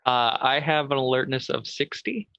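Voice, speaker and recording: A man's voice: medium pitch, normal speed, bright, forceful tone, no echo, muffled recording. A man playing a tabletop roleplaying game, chatting casually and tentatively as he thinks aloud.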